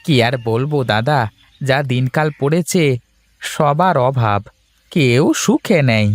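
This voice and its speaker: A man's voice: medium pitch, animated, takes it slowly. A man voicing a humble, sympathetic tea seller in a cartoon, with a hesitant, deferential way of talking. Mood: sympathetic, advising. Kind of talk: Bengali cartoon voice acting.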